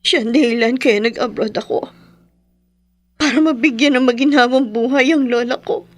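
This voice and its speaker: An actress with a Filipino accent playing an emotional, haunted young wife, grieving and fearful, in a horror radio drama. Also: female voice, on the high side, normal speed, no echo, close to an everyday voice. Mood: sorrowful. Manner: emotional, pleading delivery.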